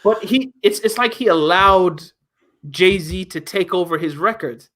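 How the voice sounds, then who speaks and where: A young man hosting a casual album review, talking in an animated, emphatic way with rhetorical questions. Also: high-pitched, measured pace. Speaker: music review host.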